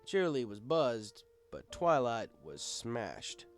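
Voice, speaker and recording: A man's voice: medium pitch, very animated, slow pace. A man reading a first-person story aloud in an easygoing, conversational manner, as a bartender telling his tale. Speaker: audiobook reader. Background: music.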